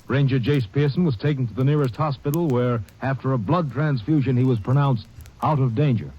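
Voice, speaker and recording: A man's voice: medium pitch, brisk, breathy edge. Formal male radio narrator. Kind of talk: radio drama epilogue narration. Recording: dull old radio transcription.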